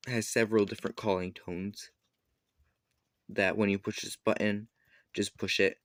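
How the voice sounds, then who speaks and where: Lower-pitched man giving a casual product demo. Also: no room sound. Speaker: product reviewer. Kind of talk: casual unscripted product demonstration.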